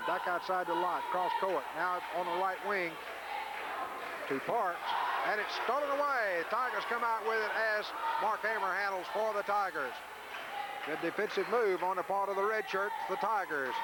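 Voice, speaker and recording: High-voiced man calling basketball, noisy old tape. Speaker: radio play-by-play announcer. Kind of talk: live basketball play-by-play commentary. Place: school gymnasium during a game. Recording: noisy 1980s radio audio from VHS.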